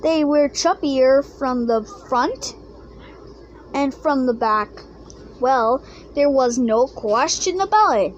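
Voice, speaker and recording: A woman reading a children's picture book aloud, haltingly, stumbling over and misreading some words. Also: sits high, animated, muffled recording, slight background noise. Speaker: storybook reader.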